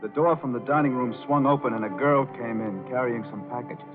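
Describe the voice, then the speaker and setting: A hardboiled roadhouse pianist played by a man, terse and self-assured in vintage radio drama style. His voice is mid-pitched on a tinny old recording, with clear music behind. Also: a little fast, lightly acted. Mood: narrating, intrigued. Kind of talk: 1940s radio acting, first-person crime narration.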